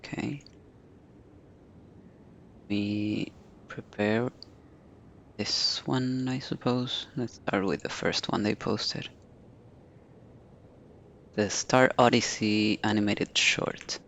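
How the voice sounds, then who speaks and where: Male game streamer, lowish creaky voice, relaxed running self-talk. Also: very slow, long pauses, dull audio, a little hiss or hum. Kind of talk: casual live-stream chat.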